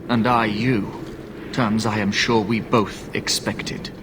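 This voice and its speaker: Stern templar knight, noisy backdrop. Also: male voice, lowish voice, level tone, slow pace. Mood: grave, dutiful. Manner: formal, stern. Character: wounded male templar knight, stern, dutiful, devout. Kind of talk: fantasy video game dialogue. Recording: clear ambient noise behind game dialogue.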